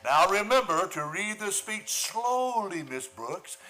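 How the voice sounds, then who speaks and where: An actor playing a blustering, pompous middle-aged school principal in a comic radio play. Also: male voice, high-pitched, big pitch swings, slow, sharp tone, full character voice, low-quality recording. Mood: fussy.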